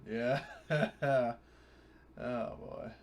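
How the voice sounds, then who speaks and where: A man's voice: mid-range voice, fairly even intonation, drawn-out words. A man chatting casually as a player in a tabletop roleplaying game.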